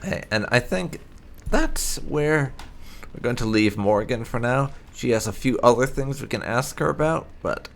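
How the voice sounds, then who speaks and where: A man hosting a video game playthrough, commenting and reading out dialogue choices in roleplay, often halting to think aloud. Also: mid-range voice, expressive, gravelly, slight background noise. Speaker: gaming channel host.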